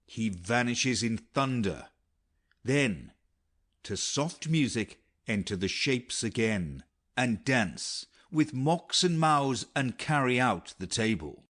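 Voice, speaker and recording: Male narrator for classic drama audiobook: scripted stage directions, measured pace, animated intonation. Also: medium pitch.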